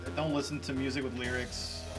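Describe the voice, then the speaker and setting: A man's voice: mid-range voice, flat delivery, fast talker, music in the background, rough recording. A man playing a game on a live charity stream, answering questions with dry, laid-back remarks. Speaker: marathon player. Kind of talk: casual live-stream banter.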